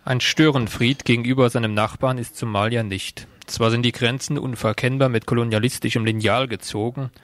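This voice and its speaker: A man's voice: mid-range voice, fairly even intonation, brisk, few pauses, no room sound. A man working as a radio reporter, reading out a scripted background report.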